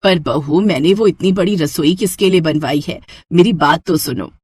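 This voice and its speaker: A woman's voice: lowish voice, normal speed, darkish tone, husky, no room sound, studio quality. A woman voicing an older village mother-in-law in a moral story, admonishing and matronly. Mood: pleading.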